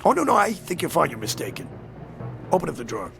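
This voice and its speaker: A man's voice: fairly high pitch, huge pitch swings, fast, creaky, full character voice. An actor playing a sly, genial, mysterious newspaperman in a radio drama, wheedling and courtly, stammering when pressed. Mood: smug, sly. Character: sly, genial, mysterious male newspaper reporter and linotype operator. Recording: radio drama with music underneath.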